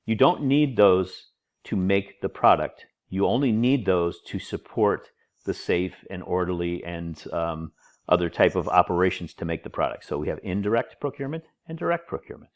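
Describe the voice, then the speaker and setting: Deep-voiced guy teaching online, a bit nasal from a cold, casual and chatty. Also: normal speed. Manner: informal, chatty, joking asides. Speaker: college instructor. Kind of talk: recorded online lecture.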